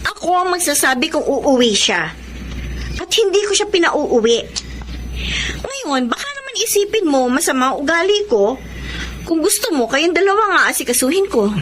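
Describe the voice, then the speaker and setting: A woman's voice: sits high, sing-song, normal speed, a bit bright, a little hiss or hum. An actress playing an outspoken, sociable young woman with a Filipino accent in a radio drama. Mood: assertive. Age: young adult. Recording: music playing behind the voice.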